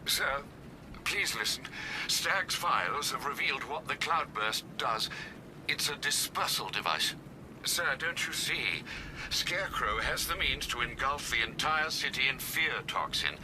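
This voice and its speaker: An actor playing a loyal, elderly British butler in a video game, formal and deferential with a pleading, anxious manner. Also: male voice, medium pitch, expressive, a little slow, noisy background, lightly acted. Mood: pleading, urgent. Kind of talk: video game cutscene acting.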